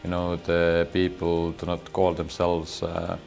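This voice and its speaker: A man's voice: deep voice, completely flat delivery, a little slow, vocal fry, darkish tone, faint music behind. A man answering interview questions thoughtfully, with many fillers.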